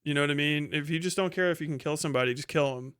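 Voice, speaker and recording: A man with a fairly high, bright and forceful voice commentates casually on a livestream. He rambles through his opinions in blunt, slangy language and swears freely. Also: racing, clean tone. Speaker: livestream host reacting to a video.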